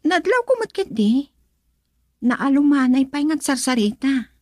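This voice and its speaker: An actress with a northern Philippine accent playing a dutiful, gentle young woman in love in a radio drama, soft-spoken and hesitant. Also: high-pitched, big pitch swings, unhurried, dry recording, studio quality. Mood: thoughtful, earnest. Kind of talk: Ilocano radio drama acting.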